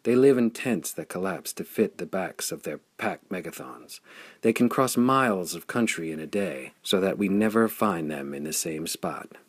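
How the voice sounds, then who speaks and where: Man reading as a deep-voiced, knowing companion. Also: animated, moderate pace, breathy edge, no echo, exaggerated voice. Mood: admiring, explanatory.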